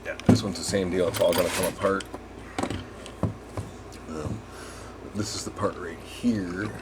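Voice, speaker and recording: A man's voice: sits low, fairly even intonation, a little slow, very noisy. A man giving a casual hands-on repair demonstration, rambling and thinking aloud as he works. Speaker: RV repair technician presenting a tutorial.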